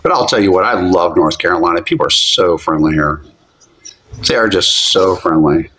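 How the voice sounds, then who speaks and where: A man's voice: low voice, moderate pace, bright, forceful tone, slight background noise. A man in his fifties from Philadelphia, chatting candidly and directly in a casual live stream. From Philadelphia, USA. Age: 50s.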